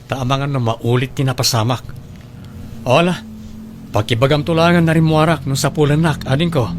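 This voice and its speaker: A reserved young Ilocano man voiced by an actor with a medium-pitched voice. He is plain-spoken, in the style of a radio drama. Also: fairly even intonation, moderate pace, natural voice. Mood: casual. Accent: Filipino, Ilocano.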